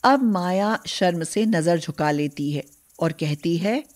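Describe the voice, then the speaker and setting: A woman's voice: lowish voice, lively intonation, takes it slowly, darkish tone. A woman narrating a scripted moral story as a storyteller.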